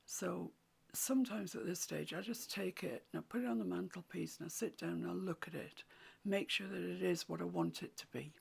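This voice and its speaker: A woman with a croaky voice, teaching a watercolour painting tutorial in soft, often half-whispered instructions. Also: on the low side, fairly quick, few pauses. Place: home room with a mantelpiece.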